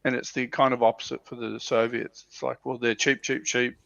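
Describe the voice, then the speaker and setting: A man's voice: mid-range voice, flat delivery, fairly quick, creaky, fairly bright tone, no room sound, dull audio. A man chatting casually as a panelist on a livestream, talking in a hesitant way that often doubles back on itself. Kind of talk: casual chat. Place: livestream call.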